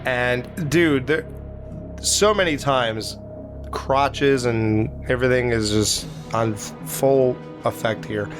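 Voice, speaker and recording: An adult man swaps jokes in a casual film review podcast, crude and playful as he retells scenes. His voice sits at a mid pitch. Music plays clearly behind him. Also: slow. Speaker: podcast co-host. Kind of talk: casual movie review chat.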